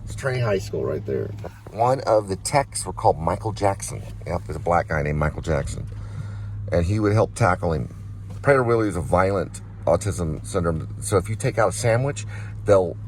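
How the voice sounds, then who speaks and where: Man, deep voice, halting storyteller, digressive vlog chat, clear road and car noise. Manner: halting, digressive, repeats himself. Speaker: vlog host. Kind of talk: rambling personal storytelling vlog. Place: inside a car while riding. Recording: noisy moving car interior.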